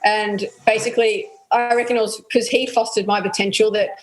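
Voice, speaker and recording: A woman in her thirties with an Australian accent, answering interview questions in a relaxed way, with lots of ums and yeahs. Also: medium pitch, a little fast, echoey room, rough recording. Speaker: athlete guest.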